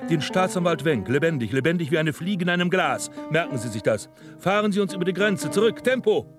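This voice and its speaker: An actor playing a megalomaniac criminal doctor in a radio drama, commanding and contemptuous in manner. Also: male voice, a little high, animated, a little fast, natural voice. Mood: scornful, angry. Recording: music behind the voice.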